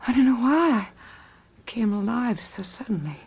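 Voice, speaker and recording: High, breathy, frightened wife. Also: female voice, big pitch swings, measured pace, a little mellow, full character voice. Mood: drowsy, uneasy. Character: frightened, fragile young wife, woken from sleep. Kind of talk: radio mystery drama acting.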